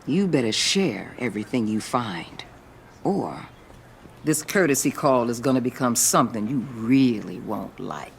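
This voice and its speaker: A gravelly female agency director, commanding and intimidating. Her pitch moves sharply as she delivers firm threats, in game-drama style over faint noise. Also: lively intonation, measured pace, exaggerated voice. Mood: cold warning.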